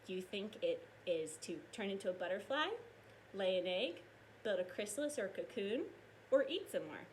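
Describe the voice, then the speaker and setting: A woman teacher reading aloud and explaining science to young children in an expressive, explanatory way. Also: medium pitch, normal speed, slight background noise.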